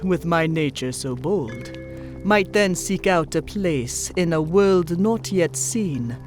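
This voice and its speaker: Wise female zebra forest shaman acted out for a cartoon fan drama: slow, measured rhyme, music underneath. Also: medium pitch, natural voice. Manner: measured rhyming verse in steady meter. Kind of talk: dramatic fan fiction audio reading.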